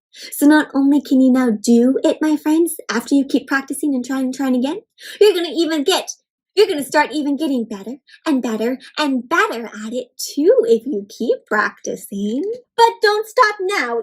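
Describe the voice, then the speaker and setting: A young woman with a high voice reads a picture book aloud to children and talks with them as she goes. Her delivery is animated and encouraging, and she speaks directly to her young listeners. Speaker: children's storybook reader and host. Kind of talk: interactive read-aloud for kids.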